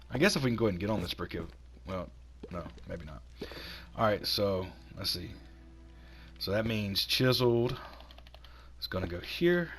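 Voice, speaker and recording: A man with a cold, hoarse and a little raspy, chatting casually while he builds in a video game and talks himself through each step. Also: fairly low pitch, a little hiss or hum. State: hoarse from sinus infection. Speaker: gaming channel host.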